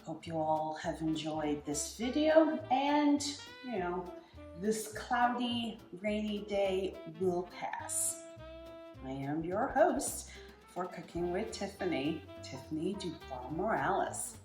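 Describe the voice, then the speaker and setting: An American woman in her forties presents a casual home cooking demonstration as host, speaking haltingly with pauses. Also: fairly low pitch, very animated. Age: 40s. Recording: roomy kitchen echo with music. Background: music, sizzling food and stirring.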